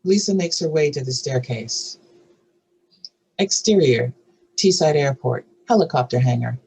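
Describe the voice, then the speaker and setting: Husky narrator reading a screenplay aloud. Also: medium pitch, a little mellow, poor audio. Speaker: narrator reading stage directions. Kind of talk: scripted narration at a table read.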